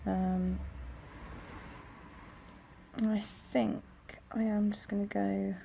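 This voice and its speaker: A young woman with a croaky voice, chatting casually at home while decorating her planner. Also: mid-range voice, level tone, drawn-out words. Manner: chatty, rambling, soft-spoken. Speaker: planner vlogger. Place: home room near a busy road. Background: traffic noise.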